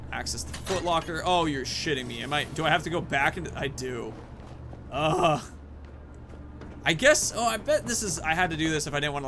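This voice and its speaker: A man's voice: on the high side, background noise. A man giving casual let's play commentary, musing aloud with joking asides as he goes.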